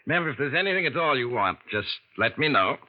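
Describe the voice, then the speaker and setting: A slightly dull old radio recording of a formal, courteous man of business played by a male actor. His voice is medium in pitch, and he speaks politely and with measure. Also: very animated, fast, soft background music, lightly acted. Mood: courteous, grave. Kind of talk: old-time radio drama acting.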